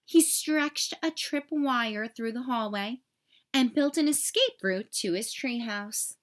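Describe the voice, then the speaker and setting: A woman narrating a children's story aloud in an expressive, clearly enunciated storytelling manner. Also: on the high side. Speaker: storybook reader.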